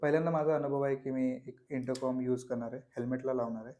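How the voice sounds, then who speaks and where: Even-toned man. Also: mid-range voice, fast, noisy background. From Pune, Maharashtra, India. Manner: informal, mixes English words into Marathi. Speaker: vlogger, product reviewer. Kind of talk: casual unboxing and review vlog.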